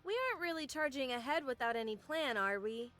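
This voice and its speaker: A flippant, world-weary young female soldier in a video game. Her voice is high and her delivery wry and nonchalant, with music underneath. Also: animated, a little fast. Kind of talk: game cutscene voice acting.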